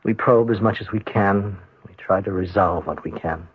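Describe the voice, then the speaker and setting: An actor playing a grave, thoughtful psychiatrist in a radio drama, speaking in a measured, reflective way. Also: male voice, on the low side, breathy edge, no echo. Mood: earnest, resigned. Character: grave, thoughtful psychiatrist, patient and world-weary. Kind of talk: 1970s radio drama acting. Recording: dull old radio recording, dry.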